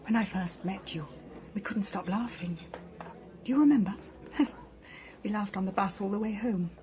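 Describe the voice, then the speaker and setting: An actress playing an older wife left at home, speaking in a hesitant, halting, often hushed way. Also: female voice, medium pitch, a little hiss or hum, lightly acted. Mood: wistful. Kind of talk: radio drama acting.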